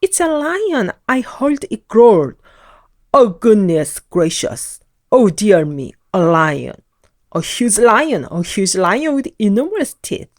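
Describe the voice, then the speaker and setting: A woman's voice: medium pitch, very animated, slow pace, no echo, studio quality. A woman voicing a tiny, jittery little man who panics and shrieks. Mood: terrified. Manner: shrieking, stammering, melodramatic.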